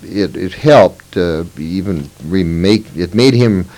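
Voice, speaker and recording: A man's voice: low-pitched, slow, noisy background. A man in his fifties from Minnesota, recalling his past in an oral history interview, meandering with fillers and self-corrections. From Moorhead, Minnesota. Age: 50s.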